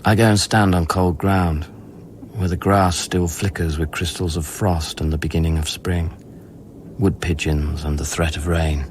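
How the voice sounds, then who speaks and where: Deep-voiced pensive man in radio drama. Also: steady tone, a little slow, a little hiss or hum. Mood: pensive, troubled. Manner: hesitant, pensive, broken phrasing. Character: reflective, troubled male space scientist narrating his report. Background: music.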